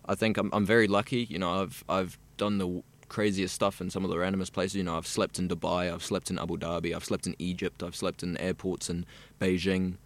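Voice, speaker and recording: A man's voice: low voice, flat delivery, fairly quick. A young man from South Africa, a radio student chatting easily and at length in an interview. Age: young adult. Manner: chatty, rambling and easygoing. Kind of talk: casual interview chat.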